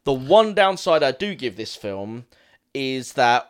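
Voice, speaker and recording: A man's voice: medium pitch, sing-song, slow pace. A man with an Essex accent, rambling and laughing easily as he chats about a film on a podcast. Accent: Essex English. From Essex, England. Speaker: podcast co-host. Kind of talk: casual film chat.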